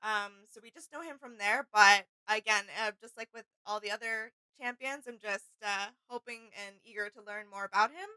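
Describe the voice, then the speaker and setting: A woman's voice: medium pitch, normal speed, few pauses, pushed and bright, clean tone, no echo, low-quality recording. A woman co-hosting a reaction video, eager and agreeable in casual chat.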